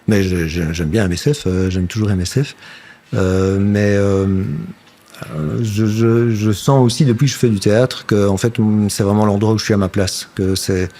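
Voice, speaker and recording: A man's voice: fairly low pitch, steady tone, normal speed, dark tone. A Belgian man in his fifties with a slight Flemish-tinged French accent, speaking as an interview guest. He talks reflectively, hesitating often and restarting sentences. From Belgium, near Brussels. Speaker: guest, actor and puppeteer. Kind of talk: casual interview talk.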